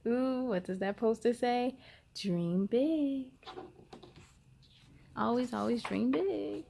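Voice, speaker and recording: A woman hosting a children's story time, reading aloud expressively with drawn-out words and talking directly to young listeners. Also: on the high side, creaky, noisy background.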